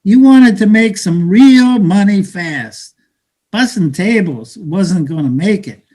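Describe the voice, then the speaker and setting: A man in a play reading, playing a cynical, bitter, streetwise Brooklyn-born man who talks in halting slang. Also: sits high, a little mellow. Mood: scornful, knowing. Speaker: stage actor.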